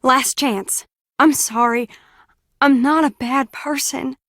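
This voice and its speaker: Eerie, slow, high-voiced unhinged woman. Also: steady tone, fairly bright tone, dry recording, clean studio sound. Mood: ominous pleading. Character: eerie, unhinged woman, pleading then menacing. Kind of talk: dramatic game voice acting.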